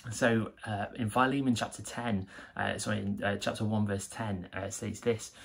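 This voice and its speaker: A man's voice: lowish voice, steady tone, normal speed, creaky, breathy edge. A young man gives an earnest, enthusiastic devotional talk to camera in a small plain room. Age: young adult. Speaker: church member giving a devotional.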